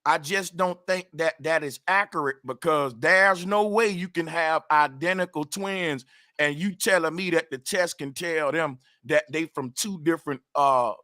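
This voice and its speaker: A man with a high, bright, sharp voice, arguing hard and refusing to give up the floor. The sound is dry and close, with no room around it. He presses each point and swears freely. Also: unhurried, no room sound. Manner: insistent, talks over others, swears. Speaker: debater. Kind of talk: heated debate.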